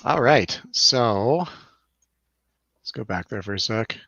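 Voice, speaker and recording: Bright-voiced man chatting about films. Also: on the low side, lively intonation, slow pace, vocal fry, no echo, dull audio. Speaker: film review show host. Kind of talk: casual film discussion with friends.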